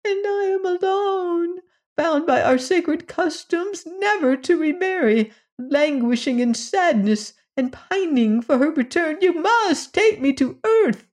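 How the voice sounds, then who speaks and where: A woman voicing a squeaky little pleading alien. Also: animated, takes it slowly, darkish tone, full character voice. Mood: anguished. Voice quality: squeaky, whimpering. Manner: pleading, overly formal. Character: small pitiful male squirrel-like alien, humble and desperate. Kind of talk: audiobook character voice.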